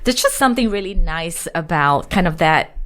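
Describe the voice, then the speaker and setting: A middle-aged woman from Singapore speaking as an executive guest in a reflective interview, choosing her phrases with measured care. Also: on the low side, animated, a bit bright. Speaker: podcast guest, marketing executive.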